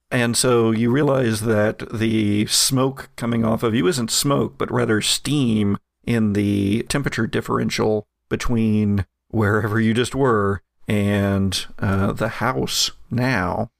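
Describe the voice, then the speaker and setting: Gravelly-voiced man narrating a horror game. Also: medium pitch. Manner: measured storytelling, hesitant when addressing players. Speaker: game master.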